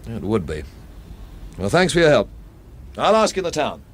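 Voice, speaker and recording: Radio drama lead: wisecracking stranger, mid-pitched, lively intonation, dry and sarcastic. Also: male voice, moderate pace, breathy edge. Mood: dry, impatient. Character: sardonic wisecracking man, stranger in town. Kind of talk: radio drama narration and dialogue.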